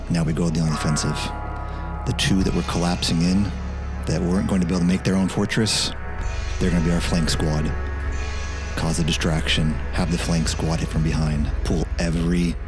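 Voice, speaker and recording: A man playing a stern, commanding military leader, grave and deliberate, giving battle orders in an audio drama game. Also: low-pitched, little pitch movement, music in the background, natural voice.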